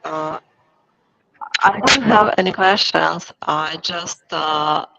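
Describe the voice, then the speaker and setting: A woman's voice: lowish voice, takes it slowly, creaky, sharp tone. A woman in the audience briefly and hesitantly explaining why she came. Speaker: audience member. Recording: dull sound, faint noise.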